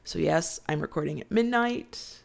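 A woman's voice: low-pitched, animated, moderate pace, creaky. A woman hosting a casual late-night podcast, stuffed up and nasal from a sinus cold, tired and chatty, rambling and often restarting her sentences. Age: adult with children. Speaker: podcast host.

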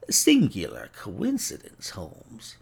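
A man's voice: lowish voice, huge pitch swings, slow pace, gravelly, a little mellow, breathy edge, heavily acted. A man voicing a gloating, cruel villain in an audiobook story, taunting with smug mock politeness. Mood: sneering menace.

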